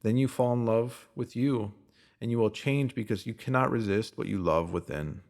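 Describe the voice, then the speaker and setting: A man reading a scripted self-help spiritual piece as narrator, in a measured, instructive way that addresses the listener directly. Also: medium pitch, a little mellow.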